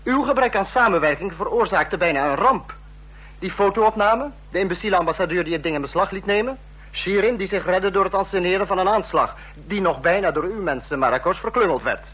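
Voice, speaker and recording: A man's voice: sits high, fairly quick, fairly bright tone, slightly rough, dull audio. A man acting in a Dutch radio play as a stern, contemptuous commander with a cutting, threatening manner. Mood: scornful. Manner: cutting, sarcastic, authoritarian. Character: stern, contemptuous, menacing commander of a fascist state.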